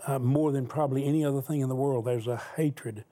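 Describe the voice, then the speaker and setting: Man, talk show host, earnest, preacherly, mid-pitched voice. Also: steady tone, quick pace, warm tone. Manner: earnest, preacherly, asks pointed questions.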